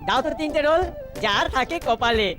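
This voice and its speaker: A woman's voice: a little high, animated, brisk, over music, close to an everyday voice. A woman voicing a crafty, food-loving boy in a children's cartoon, dramatic and playful with rhymes. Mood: torn, eager. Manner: dramatic, rhyming wordplay.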